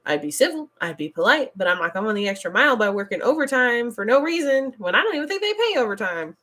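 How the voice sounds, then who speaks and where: A young adult woman from the United States hosting a casual chat stream, blunt and animated, laughing often as she talks. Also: fairly high pitch, fast talker. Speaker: stream host. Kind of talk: casual chat reading chat messages.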